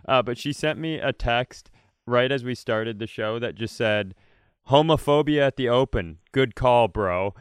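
A middle-aged man co-hosting a podcast from his home, chatting casually, laughing often and bantering back quickly. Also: medium pitch, smooth, dry recording, clean studio sound. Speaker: podcast co-host. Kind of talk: casual chat. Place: room at home.

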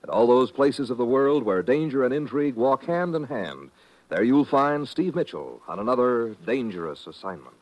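Booming old-time radio announcer, man. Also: expressive, moderate pace, rough recording. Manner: booming, dramatic.

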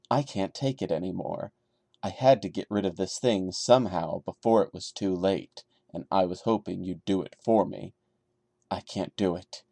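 Man acting out a low, hushed, desperate man. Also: gravelly, dry recording, clean studio sound, exaggerated voice. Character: frightened, desperate man pleading in a handwritten note.

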